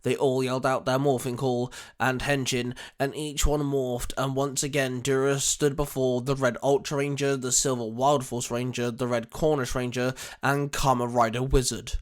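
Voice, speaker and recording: A man's voice: medium pitch, flat delivery, normal speed, few pauses. A man reading scripted fan fiction as the narrator of an action story.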